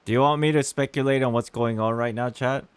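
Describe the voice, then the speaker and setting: A man's voice: mid-range voice, steady tone, fairly quick, clear, resonant tone, no echo. A man streaming a game with casual commentary, musing and speculating aloud to his chat. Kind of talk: casual live playthrough commentary.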